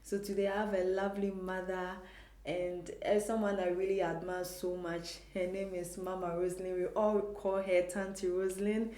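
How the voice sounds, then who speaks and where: A woman's voice: lowish voice, flat delivery, moderate pace, dark tone. Female host in an echoey room. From Ghana. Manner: admiring, encouraging interviewer. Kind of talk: casual interview talk. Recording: echoey room with faint music.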